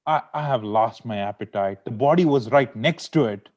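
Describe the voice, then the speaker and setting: A man's voice: medium pitch, moderate pace, darkish tone, lightly acted. Man as sulky husband, muffled call audio. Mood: aggrieved. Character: aggrieved, sulky cake-loving husband. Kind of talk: amateur script table read. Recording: dull lo-fi video call.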